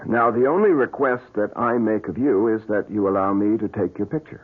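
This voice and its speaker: A man's voice: on the low side, brisk, husky. A man playing a glib, greedy agency schemer in an old radio drama, slick and persuasive with hesitant hems and haws. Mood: smooth, persuasive. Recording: muffled, hissy old radio broadcast.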